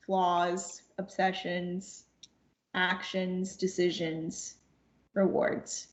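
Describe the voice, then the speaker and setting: A woman's voice: mid-range voice, creaky. A young woman speaking as a podcast guest, reflective and earnest, repeating phrases for emphasis. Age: young adult. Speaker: podcast guest, audio drama cast member. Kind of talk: reflective conversation. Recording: echoey room, dull lo-fi sound.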